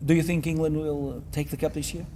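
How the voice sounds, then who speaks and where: A male interviewer, speaking English with a fairly high voice. He asks questions hesitantly, restarting often and filling gaps. Faint ambience sits behind him. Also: level tone, a little fast, dark tone, slight background noise. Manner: hesitant, many fillers and restarts, frequent "okay, very well". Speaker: interviewer, host.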